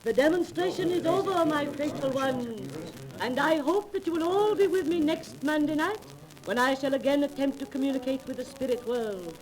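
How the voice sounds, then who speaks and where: An actress playing a commanding, mysterious fake spirit medium, grandiose and solemn, in a 1930s radio drama recording. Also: female voice, high voice, moderate pace, crowd noise, close to an everyday voice, low-quality recording. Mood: grand farewell.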